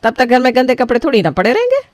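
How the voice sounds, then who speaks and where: A woman's voice: high-pitched, huge pitch swings, rapid-fire, a little mellow, no room sound. A woman voicing a strict, frugal middle-aged mother-in-law who scolds and bosses her new daughter-in-law, in a dry close recording. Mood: bossy. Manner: scolding, bossy, calls everyone beta. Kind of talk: scripted family story voicing.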